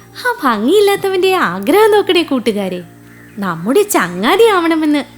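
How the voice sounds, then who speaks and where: Bossy cartoon fish, played by a woman: high and animated, sneering, scolding, sarcastic. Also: measured pace, faint music behind. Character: bossy, sneering pond fish guarding her water. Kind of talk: children's cartoon story voice acting.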